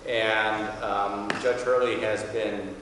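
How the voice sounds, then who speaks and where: A man in his sixties, a magistrate, giving an informal, halting welcome speech from a courtroom podium. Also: on the low side, little pitch movement. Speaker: magistrate introducing a speaker. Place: wood-paneled courtroom. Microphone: podium mic, standing back from it. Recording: very echoey hall, distant mic, faint noise.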